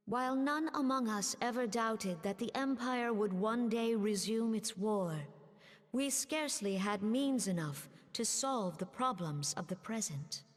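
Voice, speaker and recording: An actress playing a dignified, cautious female leader in a fantasy video game, speaking in formal, sorrowful oratory. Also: fairly high pitch. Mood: solemn. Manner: formal, measured, grave oratory. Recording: long hall reverb.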